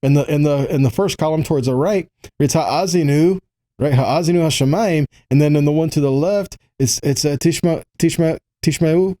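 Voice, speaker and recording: A man giving a Bible teaching, reading quotations and commenting on them, pausing to repeat key lines for emphasis. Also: fairly high pitch, mellow.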